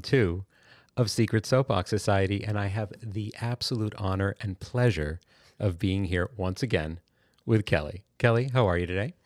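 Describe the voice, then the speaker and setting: A man with a very deep voice hosts a chatty podcast, drifting between jokes and asides. His delivery is playful and rambling. The sound is dry and close. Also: no echo. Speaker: podcast co-host. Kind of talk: casual chat.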